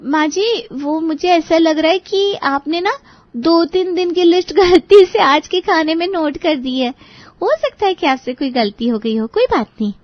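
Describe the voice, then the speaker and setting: Woman acting high-voiced, timid young Indian daughter-in-law. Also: a little slow, no room sound, dull audio. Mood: hesitant, coaxing. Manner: deferential, hesitant, coaxing. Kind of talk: Hindi cartoon family drama dialogue.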